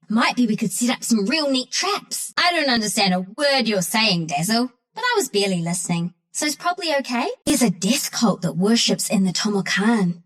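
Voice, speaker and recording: A woman's voice: fairly high pitch, a bit bright. A woman voicing a scrappy, mischievous young female forest critter in a video game, with a New Zealand accent and a cheeky, cocky, slangy way of talking. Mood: cheeky banter, then teasing. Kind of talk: video game character voice lines.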